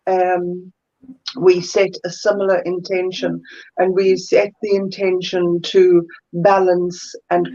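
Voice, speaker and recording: A woman with a South African accent, a guest energy healer chatting thoughtfully in an interview and often pausing to find her words. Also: fairly low pitch, a little mellow, highs cut off. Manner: thoughtful, searches for words, many fillers. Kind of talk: casual interview chat.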